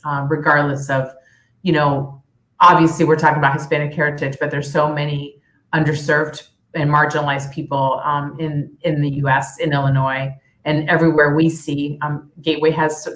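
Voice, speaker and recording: A woman's voice: deep voice, a bit bright. A woman who grew up partly in Peru, a nonprofit leader speaking earnestly and reflectively on a video call panel, with many restarts. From United States, raised partly in Peru. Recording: echoey room on a video call.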